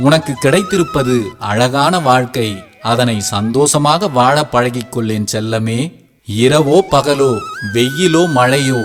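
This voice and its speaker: A man voicing a gentle, protective male deity who speaks calmly and reassuringly to a devotee in a scripted devotional narration. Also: medium pitch, lightly acted. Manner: calm, soothing, reassuring address. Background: soft background music.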